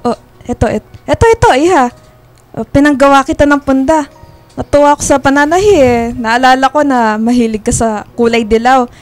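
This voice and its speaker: A high-voiced, doting mother played by a woman in a radio drama, full of fond exclamations. She fusses and chatters warmly over soft background music. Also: a little fast, natural voice. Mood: tender, fond. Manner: exclamatory, fussing, affectionate. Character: warm, chatty, doting mother of a grown son. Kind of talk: Filipino radio drama acting.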